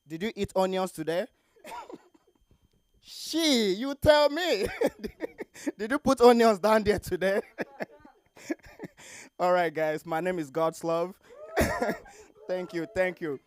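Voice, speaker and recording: High-voiced man laughing through crude jokes. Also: clean tone, no echo. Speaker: stand-up comedian. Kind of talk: stand-up set. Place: comedy club stage.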